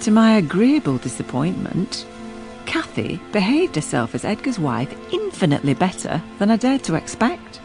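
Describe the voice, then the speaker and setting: A woman's voice: mid-range voice, huge pitch swings, normal speed, music in the background. An actress playing a sensible, level-headed woman servant who narrates a gothic radio drama in a sober manner. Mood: reflective. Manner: sober, reasoning. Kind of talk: measured dramatic storytelling for radio.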